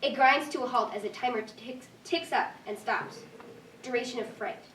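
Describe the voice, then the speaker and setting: A woman's voice: on the high side, moderate pace, fairly bright tone. A woman reading stage directions as scripted narration at a live staged reading. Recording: echoey room, distant mic, faint noise.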